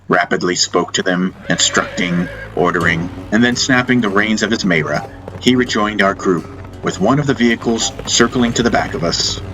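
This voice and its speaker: A man narrating a first-person fantasy audiobook in deliberate, dramatic short phrases. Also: lowish voice, poor audio. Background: music.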